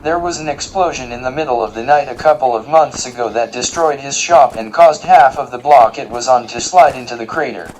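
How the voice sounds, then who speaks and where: A man playing a polite, literal-minded robot guide in an audio drama, speaking in a formal, precise and deadpan way. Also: mid-range voice, a little hiss or hum, lightly acted, poor audio.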